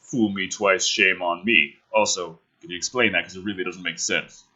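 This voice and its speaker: A man's voice: deep voice, steady tone, normal speed, bright, forceful tone, muffled recording. A man reading a script dramatically and breaking off into sarcastic, exasperated, sweary commentary as the host.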